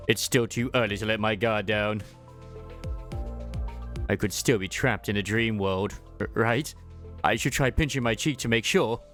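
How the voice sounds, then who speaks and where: A man reading a game story as dramatized first-person narration, with expressive, bewildered exclamations. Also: mid-range voice. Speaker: fan dubber and game reader.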